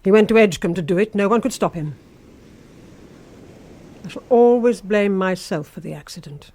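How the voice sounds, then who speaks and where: A woman's voice: medium pitch, moderate pace, darkish tone, natural voice. An actress playing a sinister, obsessive housekeeper in a radio drama, insinuating and intimate, lingering on her phrases. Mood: brooding.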